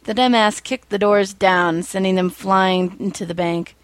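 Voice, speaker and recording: A woman reading fan fiction aloud as an expressive storyteller, with a wry, informal manner. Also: medium pitch, a little slow, dry recording.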